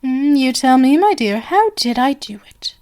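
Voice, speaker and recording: A woman's voice: high voice, big pitch swings, moderate pace, darkish tone. A woman playing a genial but sinister stage magician, possessive and doting, with flowery, coaxing endearments in an ASMR roleplay. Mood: playful, teasing.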